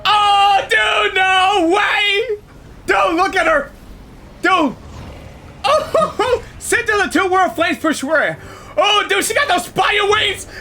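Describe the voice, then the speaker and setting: A man reacting to a boss fight as he plays, exclaiming and shouting constantly in excitement. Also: very high-pitched, slow pace, a little hiss or hum. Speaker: gaming channel host. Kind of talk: live gameplay reaction.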